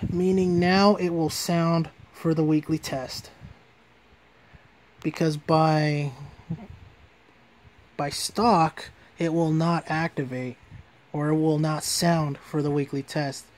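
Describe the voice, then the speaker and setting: A man with a fairly high voice presents a hands-on gadget review. He talks informally, like an everyday hobbyist video, and tends to restate his points as he goes. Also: little pitch movement, slow, long pauses, darkish tone. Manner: informal, repeats and rephrases himself. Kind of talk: casual hands-on product review.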